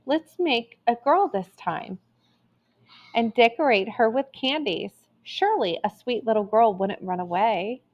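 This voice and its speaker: Woman as storybook old man, gentle, hopeful, coaxing, unhurried, slightly dull recording. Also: a little high, animated. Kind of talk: children's storybook read-aloud.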